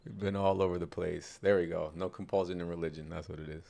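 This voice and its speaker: A man hosting a live debate podcast in a relaxed, chuckling manner, jumping in with quick remarks. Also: low voice, creaky. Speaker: podcast host. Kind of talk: casual live debate chat.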